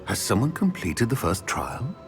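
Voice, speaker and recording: An actor playing a stately, cautious old wizard professor in a video game, speaking formally and with authority. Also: male voice, fairly low pitch, huge pitch swings, normal speed, creaky, fairly bright tone, soft background music, heavily acted. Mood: formal, instructive. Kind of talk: video game dramatic acting. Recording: long hall reverb.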